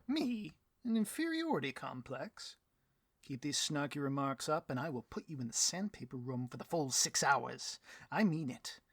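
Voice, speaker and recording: Pompous, husky-voiced supervillain boss. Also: male voice, a little high, big pitch swings, normal speed, no room sound, clean studio sound. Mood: indignant, threatening. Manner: grandiose, melodramatic, petulant speechifying. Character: pompous, bloviating, vain, easily riled supervillain boss. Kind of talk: comedic audio roleplay, theatrical monologue.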